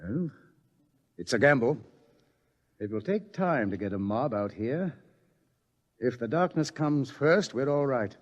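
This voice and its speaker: An actor playing a sardonic, world-weary scientist in a radio drama, wry and theatrical in delivery. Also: male voice, mid-range voice, lively intonation, a little slow, long pauses, big-room sound, highs cut off. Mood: uneasy. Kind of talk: mid-century radio drama acting.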